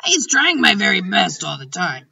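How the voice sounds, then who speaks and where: A woman's voice: mid-range voice, sing-song, normal speed, gravelly, bright, forceful tone, heavily acted. A woman reading aloud as a kindly male giant who mixes up his words and speaks grandly, in a storybook. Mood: pleading. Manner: jumbled grammar, grand and emphatic. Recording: dull distant recording.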